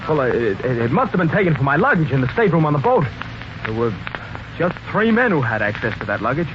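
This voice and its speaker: An actor playing a nervous, defensive former employee under suspicion, stammering and agitated in 1940s radio mystery style. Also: male voice, fairly high pitch, very animated, fast talker, background noise. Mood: flustered. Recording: dull 1940s radio recording with music.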